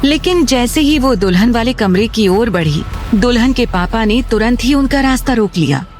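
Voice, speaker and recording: A woman's voice: fairly high pitch, animated, normal speed, a little mellow. Woman narrating drama over faint music. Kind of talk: scripted dramatic story narration. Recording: music under the voice.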